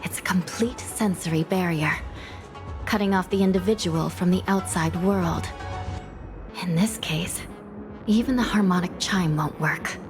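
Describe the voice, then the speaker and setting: A woman's voice: lowish voice, lightly acted. Composed, serious female judge, acted by a woman. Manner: measured, serious, formal. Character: composed, duty-bound female supernatural judge, calm and firm. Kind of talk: video game dialogue acting.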